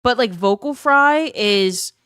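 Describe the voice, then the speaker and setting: A woman streamer, chatting casually and rambling, with a slight vocal fry at the ends of her phrases. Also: on the high side, lively intonation, slow pace, fairly bright tone, no echo, clean studio sound. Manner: chatty, rambling, laughs often, thanks viewers by name. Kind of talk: casual live-stream chat.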